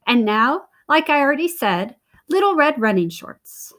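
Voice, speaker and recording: Woman putting on a brash storybook boy, high and bouncy, pushy, excitable, rushing. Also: exaggerated voice. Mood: eager. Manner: pushy, excitable, talks fast. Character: boastful bossy boy narrator Jack.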